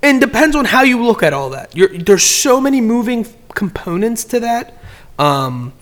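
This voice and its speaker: A man's voice: very high-pitched, sing-song, moderate pace, fairly bright tone. A man in casual podcast chat, giving business advice in a rambling way, often repeating words and restarting sentences.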